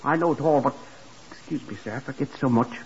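A man's voice: mid-range voice, fast, slight background noise, close to an everyday voice. An actor in a 1950s radio drama playing a simple, forgetful young working man, deferential and earnest as he rambles. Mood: apologetic, confused. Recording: dull vintage 1950s radio recording.

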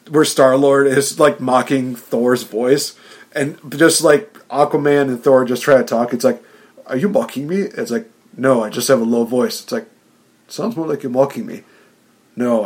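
A man hosting a podcast, chatting casually, excitable and laughing often, with frequent restarts. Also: medium pitch. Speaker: podcast host. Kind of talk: casual chat.

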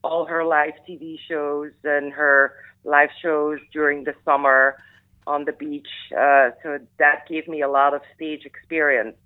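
A middle-aged woman from Belgium who speaks with a Flemish accent. She chats as a radio guest and often pauses on "uh" and "um". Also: deep voice, flat delivery. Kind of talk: casual interview chat. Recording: tinny phone line.

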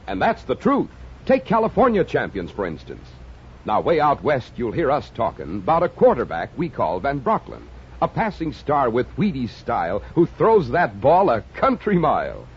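Folksy 50s ad guy, super lively up n down voice, jaunty, bit of radio hiss. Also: medium pitch, normal speed. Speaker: commercial announcer. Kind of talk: 1950s folksy radio ad pitch. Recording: dull, hissy 1950s radio recording.

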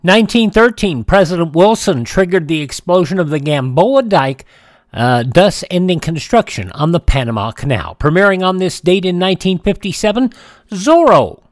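A man hosting a classic radio program, chatting in a relaxed, rambling way with frequent fillers. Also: high-pitched, normal speed, no room sound, studio quality. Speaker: radio show host. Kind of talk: casual radio host chat.

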